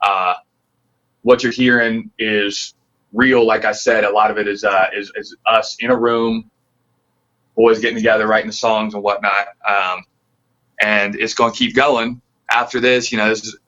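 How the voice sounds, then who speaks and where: A man's voice: fairly low pitch, little pitch movement, normal speed, sharp tone, highs cut off. A man from the Pacific Northwest, a band member, chatting as a guest in a loose, rambling, earnest way. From Pacific Northwest, near Tacoma. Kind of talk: casual interview chat.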